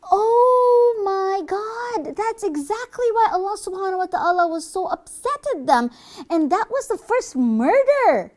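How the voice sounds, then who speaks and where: A woman's voice: extremely high pitch, very animated, a little slow, darkish tone, pitched above the performer's own voice, full character voice. A woman voicing an earnest, astonished pupil who scolds the devil in a children's puppet show. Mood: shocked realisation.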